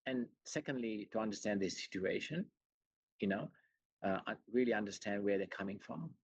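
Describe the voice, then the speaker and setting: A man's voice: on the low side, normal speed, breathy edge. A middle-aged man from Sri Lanka who has long lived in Australia, answering interview questions earnestly and conversationally over a video call. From born in Sri Lanka, long resident in Australia. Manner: earnest, explanatory, frequent you-knows. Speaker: chief executive, interview guest. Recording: roomy, dull video-call audio.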